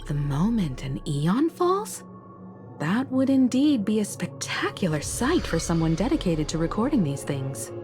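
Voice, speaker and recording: An actress playing a kind, steady, protective crew member in a video game, with a gentle, reassuring manner. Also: female voice, medium pitch, a little slow, soft background music. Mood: concerned.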